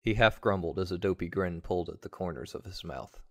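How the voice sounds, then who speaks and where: A man with a deep voice tells a story in the first person. His delivery is scripted and wry, like a storyteller reading his own tale. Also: brisk, a bit bright. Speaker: story narrator.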